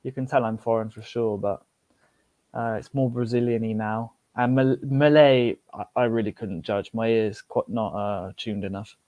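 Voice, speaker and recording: A man's voice: fairly low pitch, fairly even intonation, moderate pace, darkish tone, dry recording, muffled recording. A young British man chatting on a podcast about language learning, rambling with fillers and restarts. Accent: British English. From United Kingdom. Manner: rambling, many fillers and restarts, laughs easily. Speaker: podcast guest, polyglot. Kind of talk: casual podcast chat.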